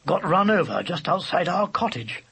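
A man playing an easygoing shaggy dog, rough-voiced. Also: high voice, very animated, moderate pace, bright, forceful tone, full character voice. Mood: chatty. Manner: casual, matter-of-fact, chatty. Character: easygoing, shaggy, drowsy male dog, good-natured.

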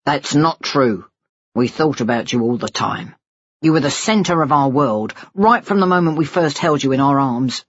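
A woman's voice: rumbling low, expressive, normal speed, slightly rough, dry recording, highs cut off, heavily acted. A woman voicing a weary ageing father, remorseful and pleading with sighs, in an audiobook story. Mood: pleading, emotional. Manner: sighing, pleading. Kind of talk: dramatised audiobook dialogue.

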